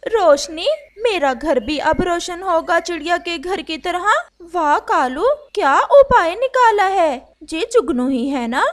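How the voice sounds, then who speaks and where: A woman's voice: very high voice, lively intonation, slow pace, hardly any pauses, exaggerated voice. A woman voicing a covetous, scheming female crow in a cartoon story, demanding and wheedling. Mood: delighted. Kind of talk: Hindi animated moral story dialogue.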